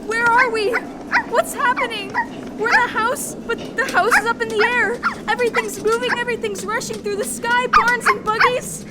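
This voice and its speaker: A woman's voice: very high voice, steady tone, normal speed, very noisy. A woman playing an earnest, bewildered young farm girl in an audio play, speaking with breathless, exclamatory wonder. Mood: frightened, frantic. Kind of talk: stage-style audio play acting.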